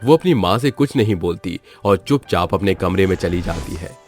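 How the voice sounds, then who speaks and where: A man's voice: sits low, expressive, normal speed, soft background music. A man narrating a scripted moral story as its storyteller.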